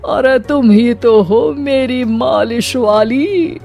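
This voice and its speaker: A performer voicing an older father-in-law in a cartoon story, a teasing husband with theatrical, drawn-out calls. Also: mid-range voice, vocal fry, darkish tone, faint music behind. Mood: wheedling, flattering.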